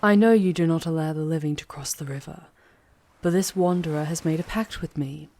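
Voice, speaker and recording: A woman playing a charming, poised female demon guide in an intimate ASMR roleplay, speaking in a measured way with breathy, hushed touches. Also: low voice. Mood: firm, commanding. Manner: measured, deliberate, often dropping into breathy whispers.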